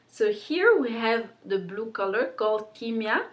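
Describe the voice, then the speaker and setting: A woman's voice: on the high side, animated, a little slow, dull audio. A woman, chatting about her makeup palette in a casual, filler-heavy way, seated close to the camera indoors. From Congo. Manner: chatty and warm, many fillers like "like" and "um". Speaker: beauty brand owner presenting her palette. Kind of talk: casual explanatory talk to camera. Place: indoor studio with plain pink backdrop.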